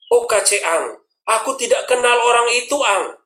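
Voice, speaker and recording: Frightened villager voiced by a man, high and nervous, dialect speech. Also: expressive, moderate pace, fairly bright tone, reverberant. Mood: nervous denial. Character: frightened village man denying he knows someone.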